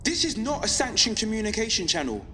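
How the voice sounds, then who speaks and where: High-voiced, impassioned young rebel broadcaster. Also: male voice, lively intonation, pushed and bright, long reverb tail, slight background noise. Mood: defiant, solemn. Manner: impassioned, measured, rhetorical. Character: defiant young rebel pirate radio broadcaster. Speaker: underground radio broadcaster. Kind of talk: dramatic monologue to listeners.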